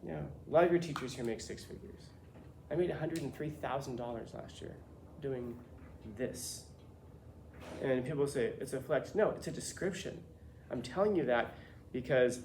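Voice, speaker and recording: A man's voice: mid-range voice, a little mellow. A man teaching a class, lecturing students bluntly with lots of rhetorical questions. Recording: echoey classroom with faint room noise.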